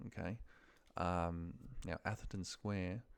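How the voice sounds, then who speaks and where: Low, creaky-voiced man talking through screen work. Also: steady tone, takes it slowly. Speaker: trainer demonstrating office data work. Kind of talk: casual screen-share walkthrough, thinking aloud. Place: voice-over of a screen recording.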